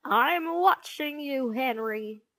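A woman acts out a fierce storybook schoolmistress, snappish and commanding. The voice is raised and high, with sweeping pitch, and each order is delivered at a measured pace. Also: lively intonation, fairly bright tone, no room sound. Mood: stern, exasperated. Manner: scolding, commanding. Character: stern, snappish, glaring female schoolteacher.

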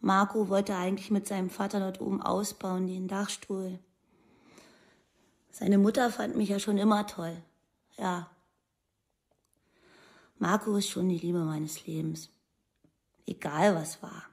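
A woman's voice: mid-range voice, vocal fry, a little mellow. An actress playing a devoted, wistful woman of twenty-six, talking in plain short phrases in a documentary radio play. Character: devoted, wistful 26-year-old girlfriend of a prisoner.

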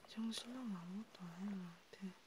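A woman whispering softly in an ASMR role play as a vampire healer tending a wounded vampire. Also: medium pitch, fast. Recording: clearly noisy home recording.

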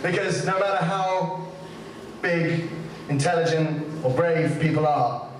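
A man's voice: on the high side, takes it slowly, noisy background. A young man with a light, boyish voice runs a rambling, self-mocking stand-up set. He is picked up from across an echoey small club, with the audience's laughter audible behind him. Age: young adult. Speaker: stand-up comedian. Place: small comedy club before a seated audience. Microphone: handheld stage mic. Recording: echoey club recording from a distant camera.

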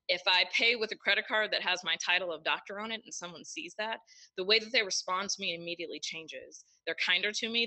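A woman presenting a webinar lesson, explaining terms in a measured way. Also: mid-range voice, pushed and bright, poor audio. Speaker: lecturer.

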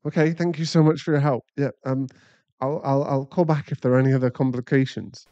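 British male, acting, caring young boyfriend for ASMR roleplay; soft-edged mellow tone, close dry sound. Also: mid-range voice, fairly even intonation, fairly quick, no room sound, highs cut off, close to an everyday voice. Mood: anxious, shaken. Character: caring young boyfriend, chatty and easily flustered.

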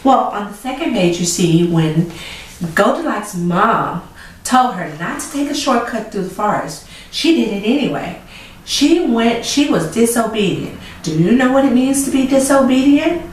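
A woman's voice: a little high, moderate pace. Children's storytime host, woman, middle-aged: emphatic, questioning delivery, very echoey, recorded from a distance. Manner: animated, emphatic, asks the listener questions. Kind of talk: children's storytelling and moral lesson. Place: small indoor room with chalkboard set. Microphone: camera mic a few feet away. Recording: echoey room, distant camera mic, faint noise.

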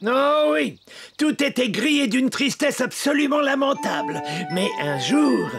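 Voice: sits high, expressive, unhurried, vocal fry, fairly bright tone, faint music behind, exaggerated voice. An actor voicing a kindly old male gnome who tells stories in a French children's cartoon. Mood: wistful storytelling. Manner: chuckling, storytelling.

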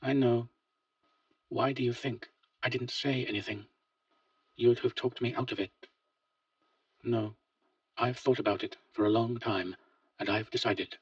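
Man doing a weary old father, husky, quiet and resolute, lifted pitch, dull far-off recording. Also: steady tone, unhurried, pauses often, no echo. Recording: dull lo-fi, distant mic.

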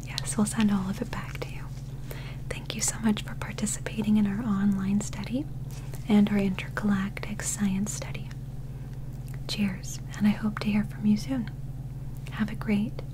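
A young woman doing a soft-spoken ASMR medical roleplay in a breathy whisper, gentle, soothing and reassuring, close to the microphone. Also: medium pitch, little pitch movement, moderate pace, background noise. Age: young adult.

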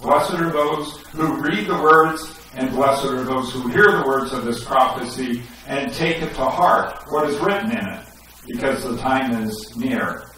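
Animated middle-aged pastor in an echoey church. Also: male voice, mid-range voice, measured pace, a little hiss or hum. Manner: animated, gesturing, rising to emphatic questions. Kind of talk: sermon with scripture reading. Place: church sanctuary, indoors. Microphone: headset mic. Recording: echoey sanctuary, recorded from a distance.

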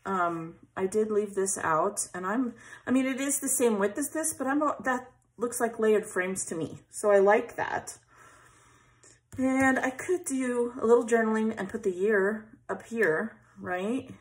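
A woman's voice: fairly high pitch, a little slow. Chatty woman thinking aloud over crafts. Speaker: scrapbooking channel host. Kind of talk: casual craft tutorial chat. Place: craft table at home, overhead camera on hands.

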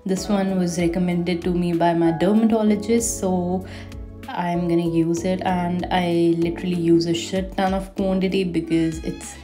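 Young woman vlogging her routine at home, low-ish warm voice, chatty, soft music in the back. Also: steady tone, normal speed. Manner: chatty, enthusiastic about products. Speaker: beauty vlogger. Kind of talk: casual routine vlog voice-over. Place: bathroom at home. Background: faint music.

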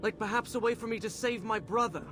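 An actor playing an anguished, defiant young warrior prince in a video game, urgent and sharp-tongued. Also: male voice, high voice, quick pace, background noise, lightly acted. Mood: indignant. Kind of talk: video game cutscene voice acting. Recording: loud game music behind. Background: loud music.

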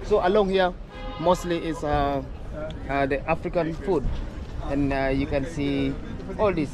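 A man's voice: a little high, a little slow, over music. A man from northern Uganda, vlogging casually as he walks through a street market, chatting with many fillers and restarts. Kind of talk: casual walking street vlog. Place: outdoor city street market. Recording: street noise behind outdoor voice.

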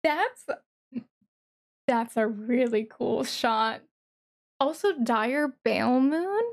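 A woman giving casual, excited reaction commentary as a streamer, thinking aloud haltingly with interjections. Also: a little high, vocal fry. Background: music from the watched video.

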